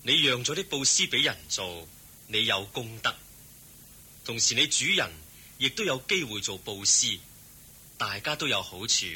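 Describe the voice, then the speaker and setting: An actor playing a serene, wise Buddha in a Cantonese radio drama, teaching in a measured, benevolent manner. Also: male voice, mid-range voice, animated, bright, forceful tone, breathy edge, full character voice. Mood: calm, kindly.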